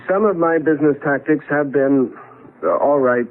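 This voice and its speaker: A male actor plays a shady, nervous businessman in a 1970s radio drama. His fairly high voice hesitates, stammers and dodges. The sound is boxy and narrow, like an old broadcast. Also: moderate pace, natural voice. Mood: contrite, evasive. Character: nervous, evasive crooked construction businessman. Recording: muffled vintage radio broadcast.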